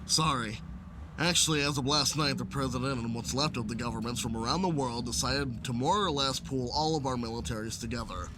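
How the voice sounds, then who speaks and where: A man's voice: mid-range voice, fairly quick, natural voice. A man playing a stern, irritable military captain in an audio drama. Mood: brisk, grave. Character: stern, irritable male military captain briefing his troops. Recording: clearly noisy background with engine-like ambience.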